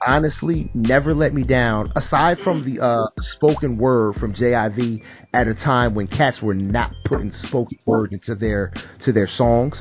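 A man's voice: medium pitch, moderate pace, lo-fi sound, music in the background. A man hosting a casual podcast chat about rap songs, laid-back and swearing now and then. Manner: laid-back, swears, says "my bad" and "word up" a lot. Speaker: podcast host.

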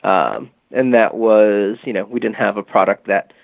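A man with a lowish, gravelly voice talks as a guest, explaining at length and leaning on "um" and "you know". He comes through a thin, tinny phone line. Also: a little slow, dry recording. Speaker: guest from a music marketing company. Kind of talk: casual interview talk.

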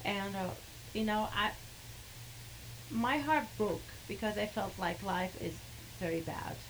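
A woman with an Arabic accent who speaks haltingly, with many fillers, and laughs between sentences as she tells a personal story. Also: medium pitch, animated, noisy background. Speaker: guest sharing a testimony.

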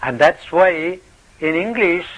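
High bright male teacher, playful. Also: expressive, slow, dull audio. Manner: playful, questioning, short phrases. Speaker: spiritual teacher. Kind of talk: spiritual talk with live interpreter.

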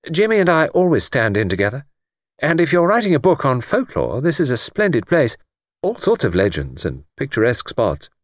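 A man's voice: mid-range voice, wildly swooping pitch, a little fast, no echo, studio quality, pitched above the performer's own voice. A man voicing a self-possessed young English woman in an audiobook, with a poised, sociable, gracious hostess manner. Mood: brisk, welcoming. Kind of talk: audiobook character dialogue.

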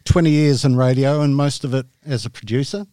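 A man's voice: mid-range voice, fairly quick, dark tone, clear, resonant tone, dry recording. A middle-aged man with a New Zealand accent, a podcast guest telling his story in a hesitant, self-deprecating way with many ums. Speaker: guest, media director. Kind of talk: casual interview talk.

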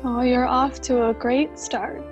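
An actress playing a tender, forgiving, emotional young wife in a radio drama. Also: female voice, on the high side, little pitch movement, unhurried, music in the background, close to an everyday voice. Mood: warm, playful.

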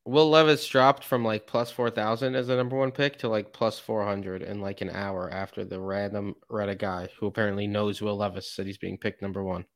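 A laid-back man co-hosting a sports podcast, with quick, easygoing reactions. His voice is fairly low and sounds close and dry. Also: level tone, dry recording. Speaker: podcast co-host. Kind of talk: casual sports podcast chat.

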